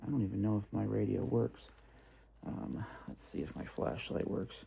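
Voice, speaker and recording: A man's voice: on the low side, normal speed, creaky, warm tone. A man thinking aloud in a casual unboxing vlog, muttering to himself and repeating words, on a noisy amateur recording. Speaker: product reviewer. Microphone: phone, handheld or nearby.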